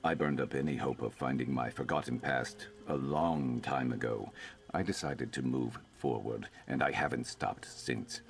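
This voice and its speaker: Weary lizard-folk fighter for a fantasy game: extremely low, gravel-throated, guarded and plainspoken. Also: male voice. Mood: troubled, reflective. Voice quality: very deep, gravelly. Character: weary, guarded male Argonian lizard-folk warrior, former slave. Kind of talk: video game character dialogue.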